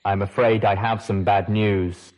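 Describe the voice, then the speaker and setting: A man voicing a male helper who talks through a sprite robot, in a mechanical monotone, for a storybook reading. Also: lowish voice, a little slow, close to an everyday voice, poor audio. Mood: flat.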